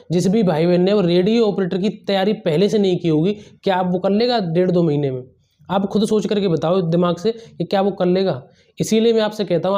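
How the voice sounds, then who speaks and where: A man with a high, soft-edged, mellow voice speaks as an exam coach. He is earnest and emphatic, advising students informally and repeating key phrases to drive them home. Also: dull audio. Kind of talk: motivational advice to students, informal.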